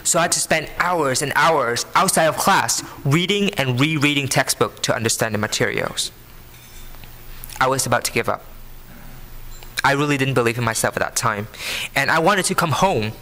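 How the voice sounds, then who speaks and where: A man in his early twenties with a Vietnamese accent, giving an earnest prepared speech to an audience, phrasing deliberately. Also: mid-range voice, pushed and bright. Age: early 20s. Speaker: scholarship program student speaker. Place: live benefit event before an audience.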